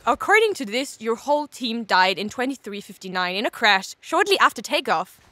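A woman playing an eager, nosy character in an audio drama, blurting and rambling excitedly. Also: fairly high pitch, moderate pace. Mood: astonished, earnest.

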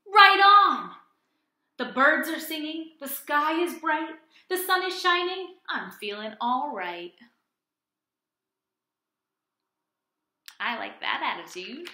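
Woman as storybook tomcat, helpful, encouraging, high voice, echoey room. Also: animated, measured pace, bright, forceful tone, heavily acted. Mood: elated. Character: upbeat, helpful male cartoon cat in storybook.

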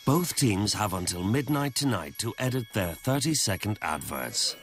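A man narrating a reality show in a measured, matter-of-fact voice-over, with music underneath. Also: lowish voice, animated.

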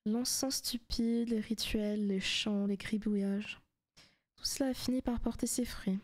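A woman playing a seductive, possessive demon in a soft, teasing ASMR roleplay, often slipping into whispers. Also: mid-range voice, fairly even intonation, a little slow, natural voice. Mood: sly, menacing. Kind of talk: ASMR roleplay, intimate scripted monologue.

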